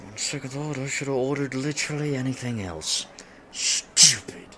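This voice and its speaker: A man's voice: mid-range voice, animated, moderate pace, sharp tone, slight background noise. A man acting in a roleplay audio drama as an awkward, geeky man on a blind date, stammering, flustered and self-deprecating. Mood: nervous, flustered.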